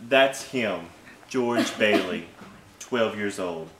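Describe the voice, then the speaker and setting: Young guy as calm angel aide, slow and deliberate, radio play, echoey stage. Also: lowish voice, over a noisy crowd. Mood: calm, businesslike. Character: dutiful male assistant angel, calm and businesslike. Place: theater stage. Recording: echoey stage with music behind.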